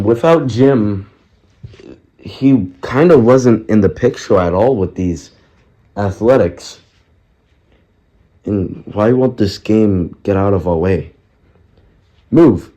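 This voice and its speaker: A man with a raspy, sick-sounding voice narrating baseball history casually, loose and rambling, correcting himself as he goes. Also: lowish voice, mellow. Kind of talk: casual narration over game footage.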